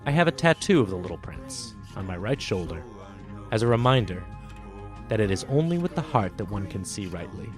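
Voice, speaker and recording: Male host-narrator for story podcast: reflective, sincere, very varied intonation, clear music bed. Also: fairly low pitch, very animated, fairly quick. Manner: earnest, reflective. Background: music under the voice.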